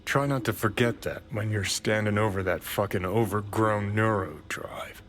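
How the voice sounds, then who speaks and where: An actor playing a jaded, foul-mouthed rebel ex-soldier in a video game, talking bluntly and sardonically. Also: male voice, fairly low pitch, normal speed, a bit bright, close to an everyday voice. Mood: brooding, cynical. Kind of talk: cinematic video game acting.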